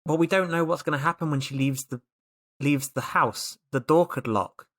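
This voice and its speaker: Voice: mid-range voice, moderate pace, fairly bright tone. A tabletop game player chatting casually in an emphatic way, often repeating words.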